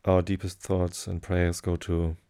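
A German-speaking man with a German accent, hosting a sleep podcast and reading aloud in a low, soothing, hesitant way. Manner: hesitant, many fillers, slow calm delivery.

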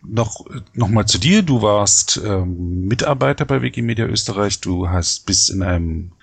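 Gravelly, husky male podcast interviewer. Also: lowish voice, expressive, normal speed, no room sound. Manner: brief prompting questions, soft back-channel.

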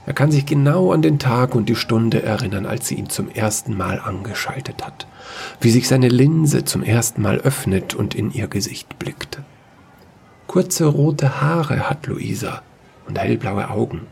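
A man narrating a sci-fi fairy-tale audio story in a measured storytelling manner. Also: medium pitch, expressive, creaky, darkish tone, a little hiss or hum.

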